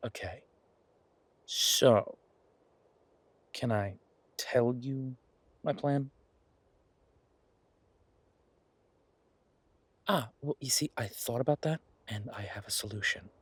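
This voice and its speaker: A man playing an affectionate, eager chef boyfriend in a romance audio roleplay, husky-voiced, teasing and earnest as he rambles to justify himself. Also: mid-range voice, slow pace, long pauses, no room sound.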